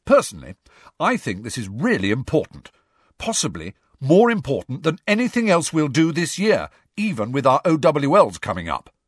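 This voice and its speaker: A self-important teenage schoolboy acted out by a man reading a storybook. He declaims pompously, with very lively pitch movement, in a dry recording. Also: moderate pace, slightly rough, no echo, full character voice. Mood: pompous, emphatic.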